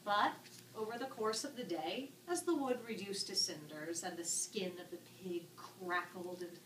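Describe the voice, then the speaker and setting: Lady narrating a story, real expressive n a bit arch, bright voice, echoey room kinda far off. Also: mid-range voice, normal speed. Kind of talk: dramatic scripted story narration. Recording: echoey room, distant mic, background noise.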